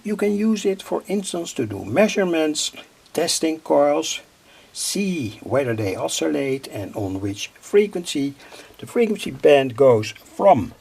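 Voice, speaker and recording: A man demonstrating a radio circuit at his workbench, speaking haltingly with pauses, fillers and self-corrections. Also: fairly high pitch, creaky, darkish tone, breathy edge.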